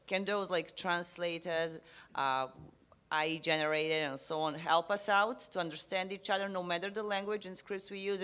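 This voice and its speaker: Panel moderator, mid pitch, measured, long drawn-out questions. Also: pushed and bright.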